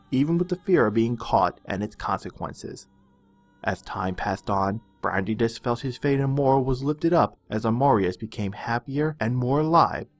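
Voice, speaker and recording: A man narrates a scripted fantasy legend in a mid-pitched voice, with music playing behind him. His delivery is dramatic and measured, in the manner of a storyteller reading a storybook.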